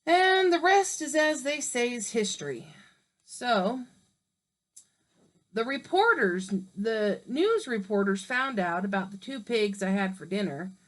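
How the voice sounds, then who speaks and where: A woman's voice: on the high side, expressive, measured pace, a bit bright. A woman reading aloud as a male wolf telling his side, mock-innocent and indignant. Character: wolf, male, grumbling sly self-pitying narrator.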